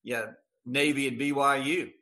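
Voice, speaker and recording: A man in middle age speaks with a bright, forward voice at a medium pitch. He is a radio sports announcer talking casually in an interview. His delivery is folksy and emphatic, circling back on words to drive them home. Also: fairly even intonation, normal speed. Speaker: guest radio play-by-play announcer.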